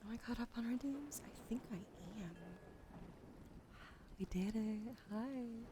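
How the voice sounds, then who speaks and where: A woman hosting a livestream, doing an ASMR card reading in a soft, gentle whisper. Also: a little high, slow, background noise. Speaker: livestream host.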